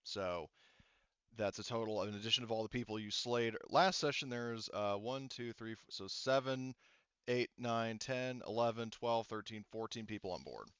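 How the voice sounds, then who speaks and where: A man running a tabletop roleplaying game as game master, explaining details casually in a halting way. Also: lowish voice, a bit bright, clean tone, dry recording, muffled recording.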